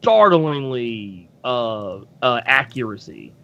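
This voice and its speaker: A man's voice: medium pitch, very animated, slow, vocal fry, a bit bright, dull audio, faint music behind. A man chatting casually as a podcast guest, hesitant and thinking aloud with frequent restarts. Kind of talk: casual live comic book discussion.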